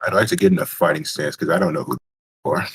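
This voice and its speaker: Man, tabletop game player, casual talk, low pitch, extremely husky. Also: fast, a bit bright.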